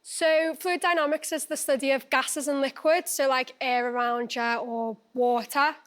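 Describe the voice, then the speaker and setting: A woman's voice: high voice, steady tone, moderate pace, fairly bright tone. A young woman with a Liverpool accent, a quiz contestant who talks in a friendly way and thinks her answers through aloud. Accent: Liverpool English. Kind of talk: casual chat. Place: TV studio.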